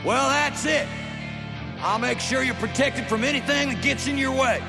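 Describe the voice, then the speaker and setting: A man voicing a gruff, protective middle-aged father in a game cutscene, plain-spoken and grudgingly warm, with a gravelly voice. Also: extremely high pitch, normal speed. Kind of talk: video game cutscene acting. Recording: music behind the voice.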